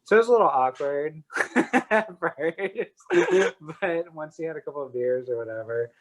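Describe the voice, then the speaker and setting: A man's voice: a little high, expressive, normal speed. Easygoing man laughing between words. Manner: laughs often, easygoing, casual. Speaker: guest photographer. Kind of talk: casual interview chat.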